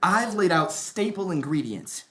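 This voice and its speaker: A cocky, streetwise young vegan sitcom character, acted by a woman. The voice is bright and a little low, with very lively swings, in a roomy stage space. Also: unhurried, slight background noise. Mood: smug, instructing. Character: cocky young mixed-race vegan from Baltimore, streetwise teacher. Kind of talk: sitcom table read before live audience.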